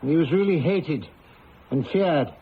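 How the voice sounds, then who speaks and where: An actor playing a polite, cautious village house agent, speaking in a courteous, hesitant, foreboding way in an old-time radio drama. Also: male voice, on the high side, animated, unhurried. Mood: uneasy, ominous. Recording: dull, noisy old radio recording.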